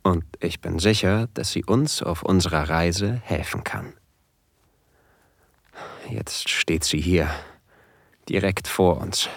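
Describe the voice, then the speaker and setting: A man playing an eager, nervous submissive husband, confiding his story in a hushed, deliberate first-person audio drama narration. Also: sits low, vocal fry, no echo, clean studio sound, heavily acted. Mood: confiding, anticipating.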